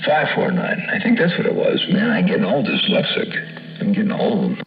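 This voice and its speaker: Animated low-voiced American man on CB radio. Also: normal speed, gravelly, muffled recording, faint music behind. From US West Coast. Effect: CB radio transmission. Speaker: CB radio operator. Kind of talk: CB radio chatter with slang and call signs. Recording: band-limited CB radio sound.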